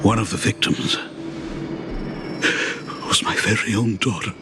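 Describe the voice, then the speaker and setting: An actor voicing a middle-aged, dignified academy dean and grieving father, formal and deliberate, in a video game. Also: fairly low pitch, steady tone, very noisy, lightly acted. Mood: mournful. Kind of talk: dramatic video game voice acting. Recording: loud music under the voice. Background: game music.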